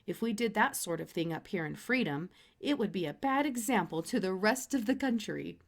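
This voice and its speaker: A woman voicing a reasonable, dryly principled father in a children's storybook, talking in a measured way. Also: medium pitch, animated, gravelly. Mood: reasoning.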